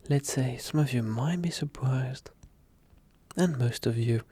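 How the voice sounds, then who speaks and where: Breathy soft-spoken man, close and dry. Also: medium pitch, unhurried, no room sound. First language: French. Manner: soft-spoken, drifting into whispers. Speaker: ASMR creator. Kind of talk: soft-spoken ASMR talk.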